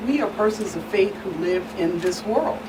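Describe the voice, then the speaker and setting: A woman guest expert explains earnestly in a panel discussion, with a mid-range voice. She is deliberate and emphatic, repeating phrases for weight. She sounds distant from the microphone, with clear background noise. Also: mid-range voice, expressive. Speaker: guest expert, attorney and pastor. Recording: distant mic, clearly noisy room hum.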